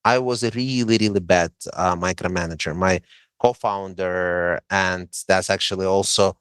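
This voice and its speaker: A man's voice: sits low, fairly even intonation, moderate pace, a bit bright, clean tone, dry recording. Man with a Russian accent, dry sound. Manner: emphatic, coaching tone with frequent "uh" fillers. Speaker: guest, franchise owner. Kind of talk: casual interview chat.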